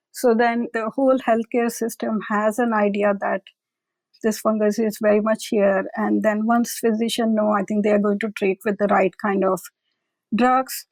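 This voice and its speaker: Researcher, female, raspy-edged higher voice, careful explanatory interview manner. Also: darkish tone, no room sound, low-quality recording. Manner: careful, explanatory, repeats "I will say". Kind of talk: conversational expert interview.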